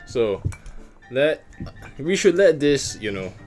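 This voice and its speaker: A man's voice: mid-range voice, sing-song, slow pace. A man hosting a casual talk show over drinks, chatting in an informal, jokey way with the odd mild swear. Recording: music playing behind the voice.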